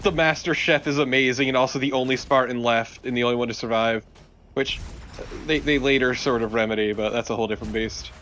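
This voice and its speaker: Male game co-commentator, dry and mock-serious, average pitch, noticeable noise. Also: steady tone, fast talker, fairly bright tone. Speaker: let's play co-commentator. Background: game sound.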